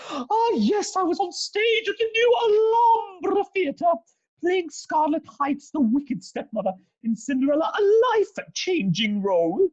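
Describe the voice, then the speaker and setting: A woman's voice: very high voice, very animated, a little slow, darkish tone, lo-fi sound, heavily acted. A woman playing a vain, melodramatic actress testifying in a comic courtroom play, haughty and self-dramatising. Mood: grandly self-pitying.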